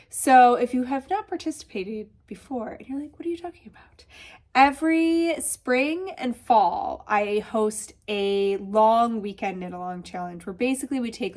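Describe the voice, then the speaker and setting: A woman with a Michigan accent hosting a knitting podcast, congested and a little nasal, chatting casually with lots of asides. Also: on the high side. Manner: chatty, bubbly, with many asides. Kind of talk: casual chat.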